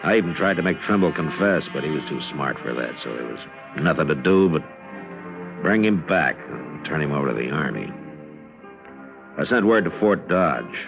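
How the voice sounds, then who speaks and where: An actor playing a dogged, world-weary western marshal in an old radio drama, speaking plainly and firmly with a weighty voice. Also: male voice, lively intonation, normal speed, vocal fry, exaggerated voice. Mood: grim, reflective. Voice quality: deep, weighty. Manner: plain-spoken, firm. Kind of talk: 1950s radio western acting and narration. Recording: muffled old radio broadcast, music behind.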